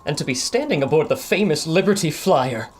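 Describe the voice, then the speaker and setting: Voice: medium pitch, animated, a little fast, slight background noise, natural voice. An actor playing an awestruck, courteous doctor of science with a formal, theatrical manner in a radio adventure. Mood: astonished. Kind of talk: old-time radio adventure acting.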